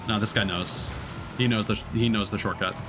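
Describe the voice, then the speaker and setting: A man's voice: on the low side, fairly even intonation, brisk. Man streaming game commentary over music. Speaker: live streamer playing a racing game. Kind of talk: casual gameplay commentary, explaining controls.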